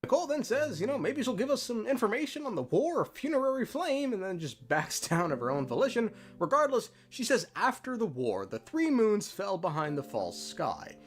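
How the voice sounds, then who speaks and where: Animated, irreverent man narrating a recap. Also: sits high, fairly quick, hardly any pauses. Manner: irreverent, sweary, slangy. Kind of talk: comedic lore recap narration. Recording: music behind narration.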